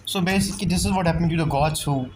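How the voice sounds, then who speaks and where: A woman's voice: lowish voice, fast talker, noisy background. A woman giving casual, blunt, sweary gameplay commentary as a streamer, with non-native phrasing. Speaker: game streamer. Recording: loud game music behind.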